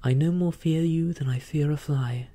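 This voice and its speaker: A man's voice: on the high side, moderate pace, mellow, pitched above the performer's own voice, close to an everyday voice. A man voicing Jim Hawkins, a brave young boy, declaiming boldly and defiantly. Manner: bold, declaiming. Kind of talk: audiobook reading.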